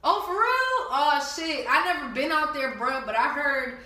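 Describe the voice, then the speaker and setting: A woman's voice: high-pitched, lively intonation, measured pace, sharp tone, recorded from a distance. A woman from New Jersey interviewing a guest in a casual, slangy chat, laughing often and swearing freely. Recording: echoey room, voice from off-mic, lo-fi.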